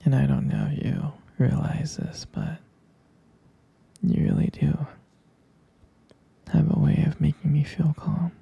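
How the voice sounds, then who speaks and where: A tender vampire lover in a romantic ASMR roleplay, murmuring in a husky, very dark whisper full of gravelly fry. The delivery is intimate and adoring, with soft hesitant pauses close to the mic. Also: mid-range voice, fairly even intonation, dry recording, lo-fi sound. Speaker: ASMR performer playing a loving partner.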